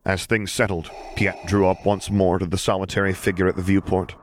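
A man with a low voice narrates an audiobook. His reading is scripted and dramatic, recorded with light noise behind it. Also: little pitch movement, a little fast. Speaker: audiobook reader.

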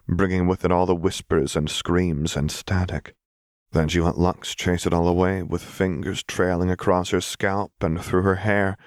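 A man's voice: deep voice, no room sound, studio quality. A man narrating dramatic fiction as a scripted audiobook reading.

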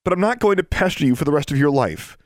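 A man's voice: lowish voice, fast, darkish tone, dry recording, studio quality, lightly acted. A man voicing a desperate young girl who pleads insistently and defiantly in an audiobook story. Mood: desperate pleading.

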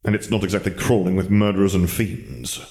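A man's voice: low-pitched, animated, brisk, a little mellow. A man reading aloud, voicing an irritable, wary male wizard who speaks in an aggrieved, muttering way. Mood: lecturing.

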